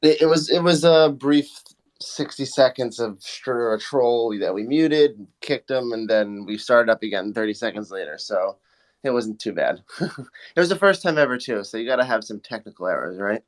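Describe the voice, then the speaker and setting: Mid-pitched man chatting casually. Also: lively intonation, normal speed, poor audio. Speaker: participant in group discussion. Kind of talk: casual chat.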